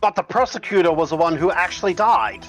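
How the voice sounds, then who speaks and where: A man's voice: high-pitched, a little fast, faint music behind. A man voicing a fussy elderly judge in a live fan dub of a game, with a theatrical, swooping delivery. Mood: puzzled. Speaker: fan voice actor.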